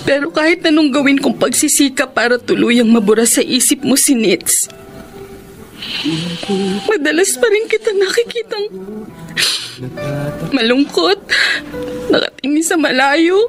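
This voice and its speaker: High-voiced, hurt, resigned girlfriend. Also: expressive, measured pace, pauses often. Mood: hurt, tearful. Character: hurt, resigned live-in girlfriend quietly reproaching her man. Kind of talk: Filipino radio drama acting. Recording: dramatic music behind the voice.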